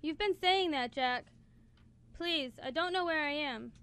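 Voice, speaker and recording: A woman's voice: sits high, measured pace, fairly bright tone. A woman acting a kind, persistent young female toy, coaxing and earnest, in a student radio play. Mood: searching. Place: college radio studio, live broadcast. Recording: clear background noise in radio studio.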